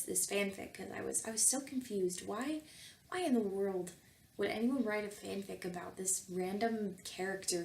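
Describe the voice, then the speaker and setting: A woman's voice: medium pitch, vocal fry, a little hiss or hum. A woman reading fan fiction aloud and commenting on it casually, with a tongue-in-cheek, mock-earnest manner. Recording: roomy echo, music behind.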